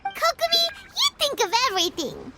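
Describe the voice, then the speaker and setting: A woman voicing a tiny, childlike fairy companion in a video game, bubbly and nosy with a squeaky voice. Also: sing-song, normal speed, pushed and bright, slight background noise, full character voice. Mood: excited.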